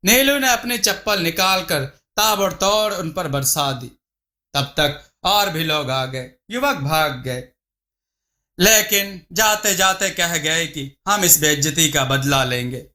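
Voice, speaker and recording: A man narrating a scripted moral story as a storyteller, in a measured, dramatic manner. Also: fairly high pitch, expressive, sharp tone.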